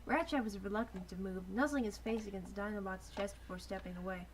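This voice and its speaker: A woman's voice: medium pitch, quick pace. Young woman narrating over background noise. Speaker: narrator of a fanfiction. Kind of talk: amateur dramatic story narration with joking asides.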